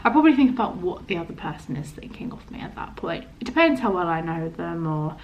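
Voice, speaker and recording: A young woman with a stammer, chatting casually to camera in a halting, hesitant way, often trailing off. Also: lowish voice, big pitch swings, muffled recording, a little hiss or hum. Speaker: vlogger.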